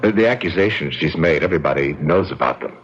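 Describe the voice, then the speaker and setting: A man's voice: very deep voice, animated, fast, a little hiss or hum. An actor playing a calculating, indignant man suspected of murder, in emphatic, theatrical radio mystery style. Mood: aggrieved, self-justifying. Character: smooth, calculating, aggrieved brother-in-law suspected of murder. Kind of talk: old-time radio mystery acting. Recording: dull, lo-fi radio drama recording.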